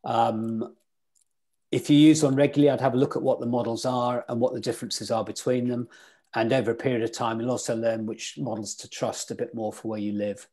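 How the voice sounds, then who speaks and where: A man's voice: medium pitch, flat delivery, brisk, warm tone. A man teaching sailing weather in an online club lecture over a video call, quizzing his listeners as he goes. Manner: interactive, quizzes the audience.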